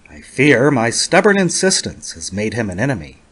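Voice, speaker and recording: A man performing a lonely, fussy, self-pitying middle-aged academic in a dramatic horror story reading, with formal, measured diction. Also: medium pitch, very animated, a bit bright, breathy edge, no room sound. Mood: curious, fussy.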